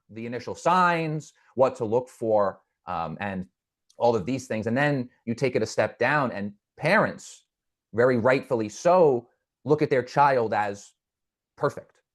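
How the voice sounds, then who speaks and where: Earnest man with a stutter. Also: medium pitch. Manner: stutters with repeated words; reflective, earnest storytelling. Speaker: podcast guest. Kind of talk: conversational interview.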